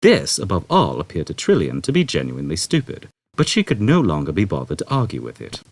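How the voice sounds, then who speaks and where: Male audiobook narrator, husky, mid-pitched, dramatic scripted read, dry sound. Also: huge pitch swings, a little fast, no echo. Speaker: audiobook reader.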